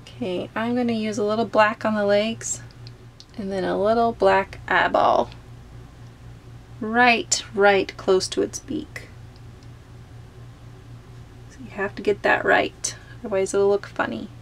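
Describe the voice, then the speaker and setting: Gentle woman teaching painting, gravelly edge. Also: mid-range voice, steady tone, slight background noise. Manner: gentle, unhurried explaining while painting. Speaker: art teacher. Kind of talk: step-by-step painting tutorial. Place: home studio, overhead camera on painting table.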